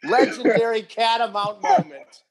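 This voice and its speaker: A man's voice: very high-pitched, animated, a little slow. A man in his forties hosting a casual interview, joking and asking rambling, friendly questions. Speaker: podcast host.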